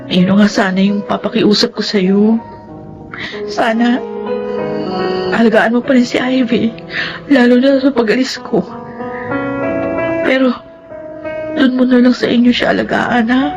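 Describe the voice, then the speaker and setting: A woman's voice: fairly high pitch, steady tone, unhurried, long pauses, highs cut off. Self-excusing Filipino mother, music behind. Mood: pleading. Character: self-excusing adult mother leaving her daughter in another's care. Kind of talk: Filipino radio-style melodrama acting.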